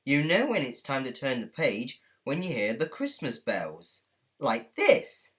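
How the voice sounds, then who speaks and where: A woman narrating a children's story from a script in an expressive, deliberate way. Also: extremely low pitch, background noise. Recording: music under the voice. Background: music.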